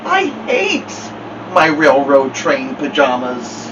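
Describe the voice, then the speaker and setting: A man's voice: medium pitch, huge pitch swings, slow. A man hosting a children's story time, speaking with dramatic emphasis as in a picture book read-aloud. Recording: dull, distant mic with clear background noise.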